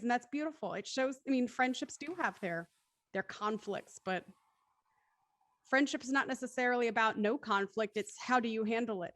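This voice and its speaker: High-voiced woman hosting a discussion. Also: a bit bright. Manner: effusive, appreciative. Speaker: host of an online post-show discussion. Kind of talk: casual panel discussion hosting.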